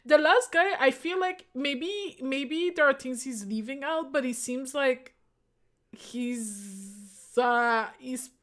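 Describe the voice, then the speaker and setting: A woman's voice: sits high, slow, a bit bright. A woman streamer giving casual live commentary as she reacts to a video.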